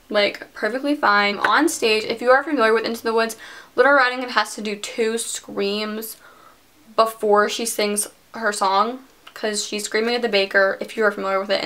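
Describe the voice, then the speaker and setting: A woman's voice: mid-range voice, sharp tone. A teenage girl telling a personal story in a casual vlog, chatty and filling her sentences with "like". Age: teens. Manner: chatty, heavy use of "like". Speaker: vlogger.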